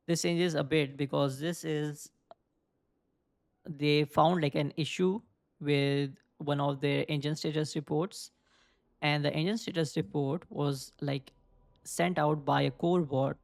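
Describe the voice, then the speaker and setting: A man's voice: fairly high pitch, steady tone, slow pace, gravelly, pauses often, darkish tone, no room sound. A young man casually commenting on a video game, thinking aloud and rambling with lots of filler words. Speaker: gaming video creator. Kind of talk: casual let's play commentary.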